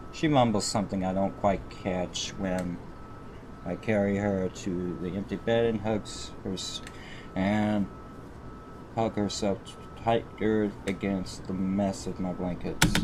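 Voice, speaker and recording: A man's voice: sits low, noisy background. A man reading story narration aloud on a live stream, stumbling over words and repeating phrases as he goes.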